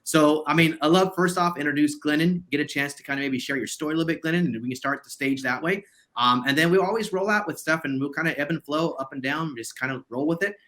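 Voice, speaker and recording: A man's voice: on the high side, quick pace, pushed and bright. A man hosting a podcast, chatting in a casual, enthusiastic and rambling way. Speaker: podcast host.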